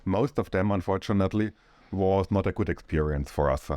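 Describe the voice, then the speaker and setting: A middle-aged man from Austria talks through his background in a podcast chat. His voice sits very low and the sound is dry and close. He speaks in a measured, halting way, pausing to find his words. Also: darkish tone, no echo. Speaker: co-owner of a real estate firm.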